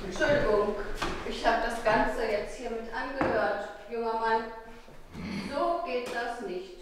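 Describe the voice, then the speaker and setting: A woman's voice: on the high side, measured pace, background noise, close to an everyday voice. A woman acting a firm, scolding bystander who steps in to stop an insult. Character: indignant older woman bystander. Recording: distant, echoey hall, clicks.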